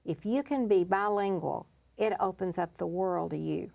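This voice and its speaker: A woman's voice: lowish voice, moderate pace, gravelly, mellow. A middle-aged woman hosting an interview show, friendly and teasing with her guest. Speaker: talk show host.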